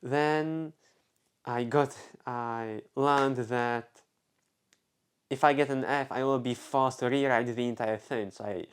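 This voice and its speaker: A man's voice: mid-range voice, steady tone, slow, smooth. Young czech guy vlogging, chatty and rambly, keeps losing his thread. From Czech Republic. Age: young adult. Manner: rambling, self-interrupting, many fillers. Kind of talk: casual personal storytelling.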